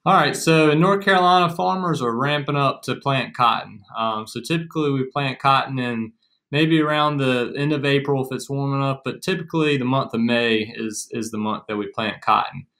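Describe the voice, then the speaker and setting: A man's voice: medium pitch, moderate pace, a bit bright. A young man with an American accent, explaining things casually as an educational co-presenter. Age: young adult. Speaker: agriculture extension agent, co-presenter. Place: small room at home, indoors.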